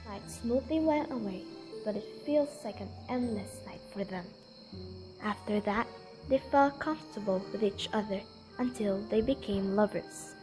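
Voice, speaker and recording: A woman's voice: mid-range voice, moderate pace, poor audio. A woman giving scripted storytelling narration for an amateur radio drama. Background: music.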